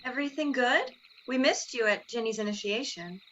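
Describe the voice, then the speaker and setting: A woman playing an encouraging young sorority sister in a dramatic reading, gentle yet authoritative. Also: fairly high pitch, normal speed, lo-fi sound, slight background noise, lightly acted. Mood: inquiring.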